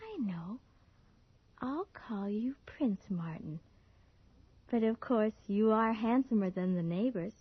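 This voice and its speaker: Husky, mocking young woman. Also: mid-range voice, animated, takes it slowly, pauses often, dry recording. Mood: teasing, eerie. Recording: muffled old radio drama recording.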